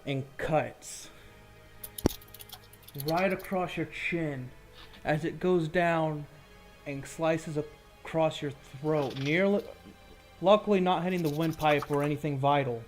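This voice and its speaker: Guy dming a tabletop game, kinda higher voice, casual storytelling, bit of music behind. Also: slow, pauses often. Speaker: game master. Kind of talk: narrating a tabletop roleplaying game, improvised.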